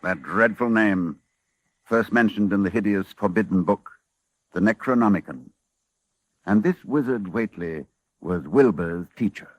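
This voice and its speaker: An actor playing a solemn, urgent professor who narrates a horror tale in an ominous, dramatic old-time radio style. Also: male voice, fairly low pitch, a little slow, no room sound. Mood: dread-filled. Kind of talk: 1940s radio drama acting. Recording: vintage radio recording, music behind.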